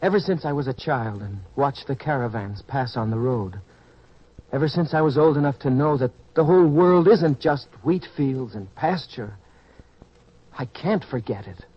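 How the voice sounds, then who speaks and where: An actor playing an ambitious, determined young farmer's son in an old-time radio drama, earnest and insistent. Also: male voice, medium pitch, lively intonation, normal speed, husky. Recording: dull lo-fi radio recording.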